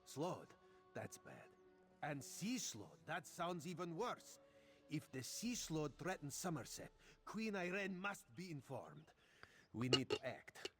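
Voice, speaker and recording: A man's voice: fairly high pitch, big pitch swings, takes it slowly, over music, heavily acted. An actor playing a wry, theatrical cat-man royal agent in a video game, speaking of himself in the third person. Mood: concerned, resolute. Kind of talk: video game character dialogue.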